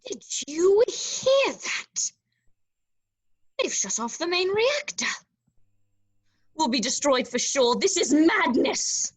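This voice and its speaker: High voice, woman acting a fretful humanoid robot, swooping intonation, alarmed, dramatic script reading. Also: big pitch swings, takes it slowly, long pauses, heavily acted. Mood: panicked. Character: anxious, fretful, slender bronze humanoid robot. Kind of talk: script table read acting.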